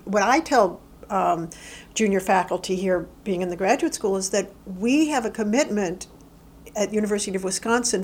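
A middle-aged woman speaks in an interview with a mid-range voice, thinking aloud and often restarting her sentences. She talks about her work plainly and deliberately. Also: mid-range voice. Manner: thoughtful, halting, with frequent restarts and fillers. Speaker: interviewee, university faculty administrator. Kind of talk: oral history interview.

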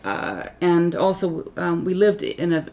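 A middle-aged woman who grew up in Tanzania, with Finnish as her first language, recalls her childhood in a thoughtful, halting way, full of ums and self-corrections. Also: fairly low pitch, sing-song, darkish tone. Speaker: interviewee, Africanist scholar. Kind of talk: reflective oral history interview.

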